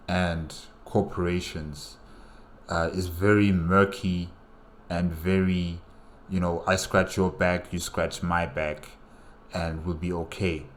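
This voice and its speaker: A man's voice: low-pitched, a bit bright. A man hosting a reaction video, talking casually with lots of fillers and repeated words. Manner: rambling, many fillers and repeats.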